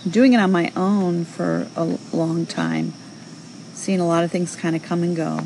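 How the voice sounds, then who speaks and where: A woman's voice: fairly low pitch, lively intonation, normal speed, creaky, noisy background. A middle-aged woman hosting a casual audio show, answering callers in a chatty, encouraging way with plenty of fillers. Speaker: host.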